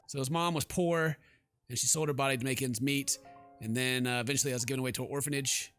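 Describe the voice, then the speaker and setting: A man's voice: mid-range voice, a bit bright. A man doing let's play commentary, reading game text aloud dramatically and stumbling now and then. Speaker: gaming YouTuber.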